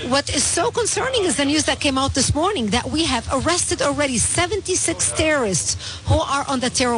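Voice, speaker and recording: Emphatic high-voiced woman from Lebanon. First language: Arabic. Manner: emphatic, insistent, lists points in rapid series. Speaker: guest commentator on terrorism. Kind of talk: interview.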